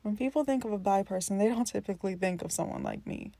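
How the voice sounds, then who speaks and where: A woman's voice: mid-range voice, quick pace, vocal fry, dark tone, no room sound, lightly acted. A woman playing an earnest, introspective young friend in naturalistic scripted dialogue, speaking tentatively and confidingly. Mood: wistful, unsure.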